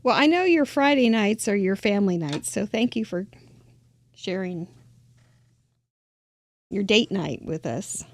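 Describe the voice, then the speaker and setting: Host, woman, middle-aged, squeaky voice with fry, chatty and laughing. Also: animated, a little slow, long pauses, dry recording. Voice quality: squeaky high voice. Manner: chatty, laughs often, trailing restarts. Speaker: host of a live crafting show. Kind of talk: casual interview chat.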